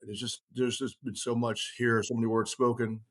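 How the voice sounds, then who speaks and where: A man's voice: medium pitch, little pitch movement, moderate pace, rough recording. Older man, rambling and blunt. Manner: rambling, emphatic, blunt and salty. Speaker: kindred chieftain. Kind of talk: casual podcast conversation.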